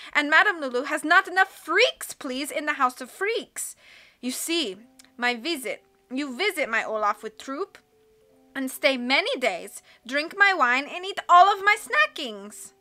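A woman's voice: high voice, pushed and bright, voice pushed up. Woman doing a wheedling fortune-teller with a foreign accent. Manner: broken syntax, peppers speech with "please".

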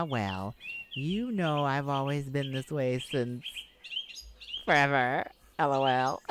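A woman's voice: deep voice, full character voice. A woman playing a chipper, silly, caring roommate and best friend in a comforting audio roleplay, with playful, theatrical phrasing. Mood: chatty, concerned. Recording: noisy, birds and insects behind. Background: nature sounds with birdsong and insects.

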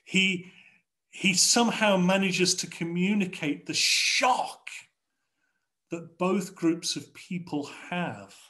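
A middle-aged man with an English accent preaching a sermon from a home study over a video call, in a measured, rhetorical way with repeated phrases. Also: sits high, pushed and bright. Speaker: pastor. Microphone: video call webcam.

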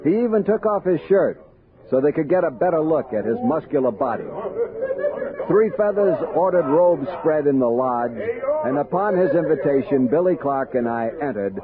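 An actor playing a thoughtful expedition captain who tells his story in a measured, literate way, as in 1960s radio drama. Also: a little high, animated, phone-quality sound, a crowd in the background, lightly acted. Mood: reflective. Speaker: narrator and actor. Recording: band-limited 1960s radio recording.

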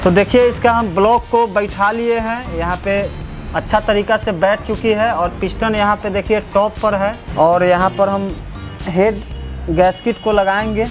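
Male mechanic with a high voice narrating a workshop tutorial, music clearly audible behind him. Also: steady tone, normal speed. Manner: explanatory, guides the viewer step by step. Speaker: motorcycle mechanic. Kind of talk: workshop repair tutorial, narrating over close-up work. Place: motorcycle workshop.

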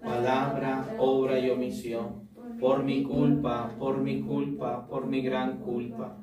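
Priest leading Mass in an echoey chapel. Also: male voice, mid-range voice, level tone, a loud crowd. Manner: measured liturgical recitation. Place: small chapel indoors. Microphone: far camera. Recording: echoey room, distant mic.

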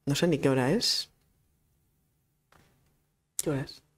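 A woman's voice: low voice, slow, slightly rough. Chatty woman moderator. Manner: informal, chatty, laughs easily. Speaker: grief therapist and speaker. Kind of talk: casual talk moderating discussion. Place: conference room of a cultural centre. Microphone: table microphone.

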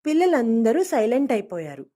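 A woman's voice: a little high, big pitch swings, a little fast, mellow. A woman storyteller reading a scripted moral story for children, acting out dialogue as she narrates.

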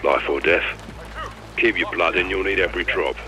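A man voicing a grim, battle-hardened British special forces lieutenant in a video game, terse and deadpan over radio. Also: low-pitched, normal speed. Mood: stern, urgent. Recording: noisy radio with rain and ambience.

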